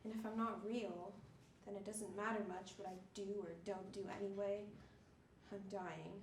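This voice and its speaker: A woman's voice: medium pitch, little pitch movement, moderate pace, close to an everyday voice. A woman playing a lonely, despairing harlequin in an amateur stage monologue, theatrical and musing. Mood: forlorn musing. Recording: echoey stage with faint noise.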